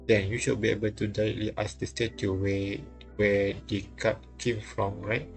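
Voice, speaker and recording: A man reading visual novel dialogue and narration aloud on a live stream, halting and repeating lines as he goes. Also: lowish voice, level tone. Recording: music playing behind the voice. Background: game music.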